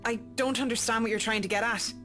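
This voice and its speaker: A woman's voice: fairly high pitch, steady tone, fast talker, sharp tone. An actress playing a curt, haughty woman in a visual novel, with a formal, cool manner. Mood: cold, offended. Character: Miss McCullough, curt, haughty woman designer. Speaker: video game voice actor. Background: background guitar music.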